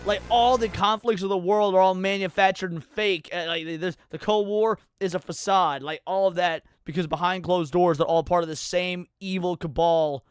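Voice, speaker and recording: A man's voice: high voice, steady tone, moderate pace, hardly any pauses, clean tone, dry recording. A man hosting a livestream, giving casual, profane reaction commentary with muttered asides and some reading aloud. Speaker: livestreamer host. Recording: music behind the voice.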